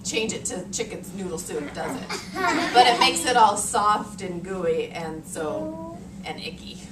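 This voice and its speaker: An American woman in her thirties, an elementary teacher reading aloud to young children in a deliberate, expressive way, thinking aloud in simple words. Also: medium pitch. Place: elementary classroom, indoors. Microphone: far camera. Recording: noisy echoey classroom, distant camera mic.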